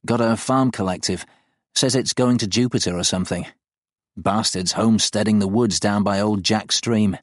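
A man voicing a gruff, irritable countryman who talks in blunt, colloquial phrases. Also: on the low side, fairly even intonation, moderate pace, dry recording, clean studio sound, close to an everyday voice. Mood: indignant. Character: gruff, irritable countryman, hard-drinking and foul-mouthed.

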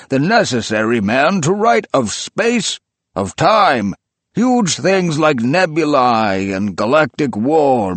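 A man doing a wild-eyed old rich man, voice pushed up high and loud, fierce and rapturous, drawing out the big words slowly and swinging his pitch all over the place. it sounds close and dry, no room at all. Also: dry recording, full character voice. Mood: fervent. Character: feverish, imperious old male book collector.

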